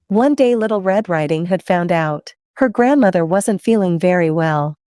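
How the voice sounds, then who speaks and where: A woman's voice: lowish voice, lively intonation, normal speed, a little mellow, clear, resonant tone, dry recording, clean studio sound. A woman hosting story time for children, playful and chatty, putting questions to her young listeners.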